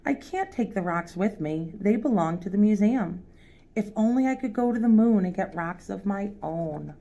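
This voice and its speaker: A woman's voice: mid-range voice, expressive, moderate pace, warm tone, muffled recording, close to an everyday voice. A woman voicing a curious, imaginative young boy who loves science, in an earnest storybook read-aloud. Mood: wistful.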